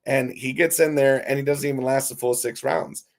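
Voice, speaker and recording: A man with a hoarse, raspy voice, talking casually on a live stream as host, reading viewer comments and calling his viewers folks. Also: mid-range voice, steady tone, normal speed. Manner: chatty, curses casually, calls viewers folks.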